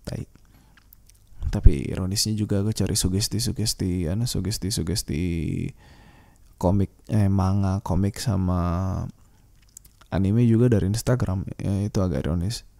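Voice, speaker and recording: Low-pitched, gravelly man rambling casually. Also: fairly even intonation, normal speed, warm tone. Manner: informal, slangy and salty, thinking aloud with hesitations. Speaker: solo podcast host.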